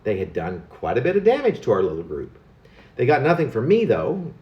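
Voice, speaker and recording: A man reading his own reflective personal writing as a poet, musing and halting, with self-corrections along the way. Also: mid-range voice, huge pitch swings, roomy sound, muffled recording.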